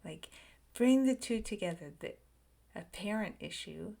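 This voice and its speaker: A woman leading a guided meditation, speaking softly in short phrases with long pauses and frequent whispered words. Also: medium pitch, lively intonation, vocal fry. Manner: slow, soft and soothing, drifting into whispers.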